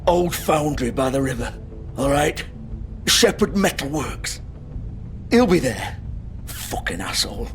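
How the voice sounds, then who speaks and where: Defiant dying lowlife for a game: strained, sardonic, goading, animated pitch, music underneath. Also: male voice, a little slow, pauses often. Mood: urgent. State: wounded, straining, coughing. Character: bitter, dying male crook, defiant and goading. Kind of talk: dramatic video game acting. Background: dramatic music.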